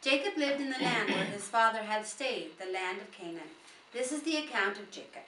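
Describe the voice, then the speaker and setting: A woman's voice: on the high side, expressive, normal speed, bright, forceful tone. A woman reading scripture aloud with informal asides, recorded at a distance in a roomy space with faint noise. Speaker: Bible reader.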